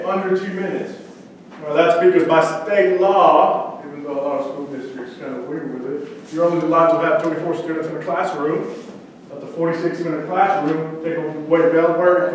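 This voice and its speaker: A man from central Mexico whose first language is Spanish, giving a lively startup pitch and quizzing the audience. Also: distant mic, a little hiss or hum. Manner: conversational, quizzes the audience with questions. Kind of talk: energetic startup pitch talk. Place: event room with audience. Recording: echoey room, speaker away from mic.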